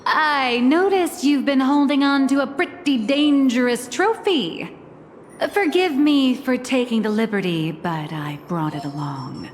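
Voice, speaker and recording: A woman's voice: high-pitched, unhurried, big-room sound, slight background noise. A female actor playing a cool, sardonic, commanding schemer in a video game, with a dry, teasing manner. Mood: amused, sly.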